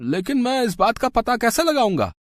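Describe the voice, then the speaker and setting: Guy doing an earnest fretful school worker for a cartoon, really high voice for a man, dry audio. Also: huge pitch swings, normal speed, no echo, heavily acted. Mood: puzzled. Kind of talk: cartoon story dialogue.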